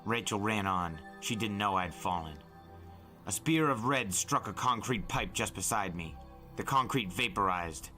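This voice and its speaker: Male dramatic narrator: low, sharp-edged, intense, deliberate audiobook delivery over music. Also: animated. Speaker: storyteller, podcast narrator.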